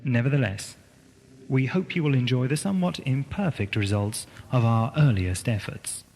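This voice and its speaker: A man reading a scripted announcement in a formal, measured manner. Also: medium pitch, animated, creaky, a little mellow. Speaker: announcer for an audio drama producer. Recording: long reverb, faint tape noise.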